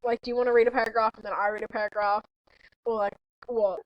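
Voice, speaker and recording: A woman's voice: on the high side, flat delivery, quick pace, muffled recording, a little hiss or hum. A woman chatting casually and reading aloud informally with a friend, giggly and often breaking off to react. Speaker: podcast co-host reading fan fiction aloud.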